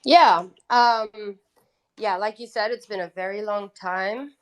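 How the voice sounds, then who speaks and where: A woman's voice: medium pitch, slow pace. A woman from Italy, a native Italian speaker, chatting informally as a musician guest in an interview. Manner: informal, peppers talk with "like". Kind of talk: casual interview chat.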